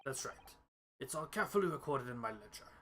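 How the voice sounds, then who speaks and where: A man plays a courteous clerk in a mystery game. He is deferential and exact, formal in every phrase, at a middling pitch with slight background noise. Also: big pitch swings, fast talker, bright, forceful tone. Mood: obliging, precise.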